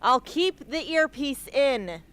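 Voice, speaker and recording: A woman's voice: high voice, lively intonation, slow, a bit bright, full character voice. Woman acting a defiant, troubled young rebel. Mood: grudging, irritated. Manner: blunt, curt, wounded. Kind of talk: amateur radio drama acting.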